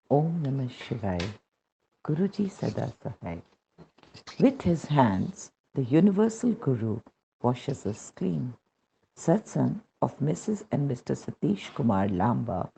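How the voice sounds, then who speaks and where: A man with an Indian accent reads a scripted devotional testimony in a measured, solemn manner, on a dull recording. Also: medium pitch, gravelly, mellow, a little hiss or hum.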